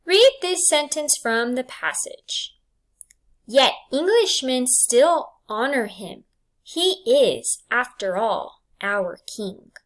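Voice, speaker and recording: A woman with a high, very lively voice reads aloud as a teacher would to a class. She goes slowly and deliberately, setting out each question and answer choice one item at a time. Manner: deliberate, enunciated item-by-item delivery for students. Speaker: English language arts teacher.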